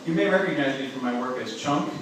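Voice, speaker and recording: A man's voice: medium pitch, quick pace. A young man doing a stand-up comedy set in a club, rambling and self-mocking, full of filler words and swearing. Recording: echoey room recorded from a distance with noise.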